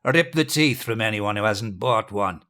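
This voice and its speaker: An actor playing a dying, deranged dictator, cruel, grandiose and childish, in a theatrical audio drama monologue. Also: male voice, on the low side, expressive. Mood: imperious, ranting. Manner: theatrical, volatile, slow and deliberate, swinging from hush to bellow.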